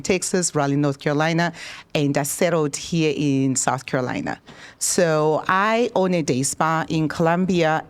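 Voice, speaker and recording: A woman in her forties from Zimbabwe, a spa owner speaking on a panel to students into a handheld microphone, encouraging and chatty. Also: sits low. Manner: warm, encouraging, chatty with restarts. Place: event room.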